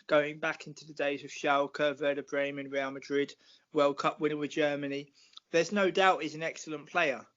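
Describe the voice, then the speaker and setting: A British man in his forties, a podcast guest talking football at length in a measured, explanatory way with many fillers. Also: fairly high pitch, a bit bright, highs cut off. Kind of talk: casual podcast chat.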